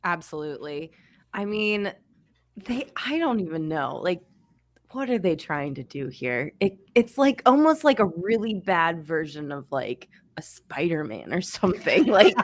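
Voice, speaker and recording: A woman's voice: fairly low pitch, sing-song, a little slow, fairly bright tone. A woman chatting casually as a sports podcast panelist, blunt and sardonic, with some casual swearing.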